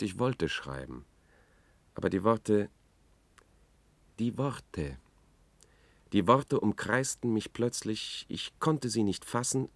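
A haunted, obsessive male reporter in a science-fiction radio play. His voice is low, and he tells his story with brooding, measured intensity. It is recorded dry and close. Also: dry recording, full character voice. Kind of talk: German radio drama, first-person narration.

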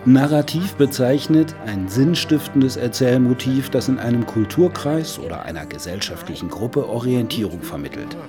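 An eager German radio journalist played by a man talks in a conversational radio-play style. His delivery is highly animated, wry and insistent, tipping into lecturing, with music clearly underneath. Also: moderate pace, hardly any pauses, close to an everyday voice. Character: eager male radio journalist pitching an absurd idea to his colleague. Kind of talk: conversational radio feature acting.

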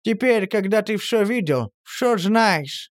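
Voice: medium pitch, lively intonation, slow pace, no echo, clean studio sound, pitched above the performer's own voice. A storyteller voicing a worried, resigned village aunt who talks with a sigh. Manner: sighing, plaintive.

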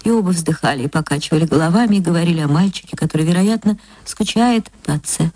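A woman actress narrating a literary story for radio in a measured, theatrical storytelling manner. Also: on the low side, warm tone, dry recording.

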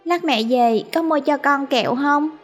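A woman with a Southern Vietnamese accent voicing a small, innocent boy who asks in a high, eager voice. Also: a little slow, faint music behind.

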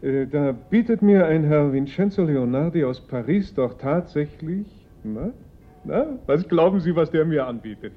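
An actor playing a shrewd, excitable art dealer in a German radio play, speaking theatrically with teasing pauses. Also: male voice, on the high side, faint music behind. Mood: amused, incredulous. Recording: muffled radio play recording.

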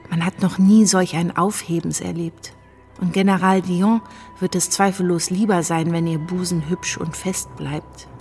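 A woman's voice: lowish voice, a little mellow. An actress playing a melancholy, disillusioned young wife and mother, confiding in a radio drama monologue.

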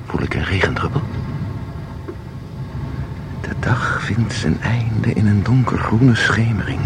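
Radio drama: a hypnotic, evocative, commanding guide; unhurried and creaky, on a noisy recording. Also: male voice, fairly low pitch. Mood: brooding, evocative. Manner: slow, deliberate, instructing, evocative. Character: commanding male guide, hypnotically luring someone into a painting. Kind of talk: radio play acting.

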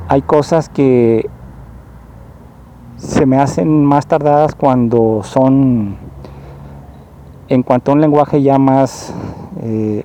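A man with a very dark, mellow voice. He talks slowly and earnestly, pausing and rewording his phrases as he goes. His pitch sits at an ordinary middle level. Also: slight background noise, rough recording. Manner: slow, halting, rephrases often; earnest and explanatory. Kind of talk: spoken monologue, personal complaint.

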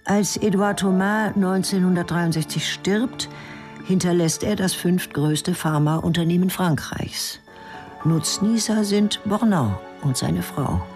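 A woman's voice: on the low side, slow pace, a little mellow. Terse woman narrating a radio drama. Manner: terse, clipped, matter-of-fact. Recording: studio radio play with music.

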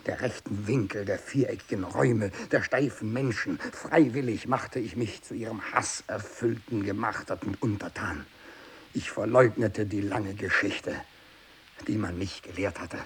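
An actor playing a fanatical, tormented captive missionary turned hateful zealot, in an intense German radio drama monologue from the 1960s. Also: male voice, medium pitch, creaky, husky, heavily acted. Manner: intense, swinging between hushed muttering and loud declamation. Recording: hissy 1960s radio production.

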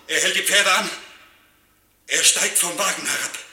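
An actor playing a fearful onlooker who announces things ominously in a tense, declamatory radio play style. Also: male voice, high-pitched, moderate pace, sharp tone, exaggerated voice. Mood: anxious, ominous. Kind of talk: German radio play acting. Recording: echoey, distant, noisy old radio recording.

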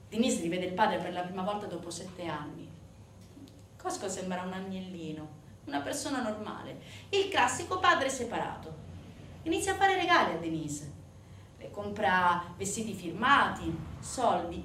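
A woman's voice: mid-range voice, big pitch swings, moderate pace, fairly bright tone. A woman performing a dramatic theatrical monologue as a stage actress, narrating with intense, emphatic delivery that swells and drops. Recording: roomy, distant microphone, faint noise.

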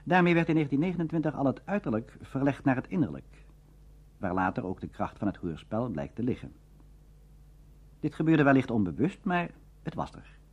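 A man narrating a scripted documentary lecture in a formal, measured manner. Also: medium pitch, very animated, slightly rough, muffled recording.

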